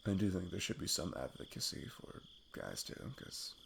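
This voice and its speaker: Man acting a low, gravelly, tender best friend. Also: very animated, fairly bright tone, a little hiss or hum, heavily acted. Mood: earnest, rambling. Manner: halting, self-deprecating, chuckling rambler. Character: sweet, nervous male best friend confessing his love. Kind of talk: intimate ASMR roleplay monologue.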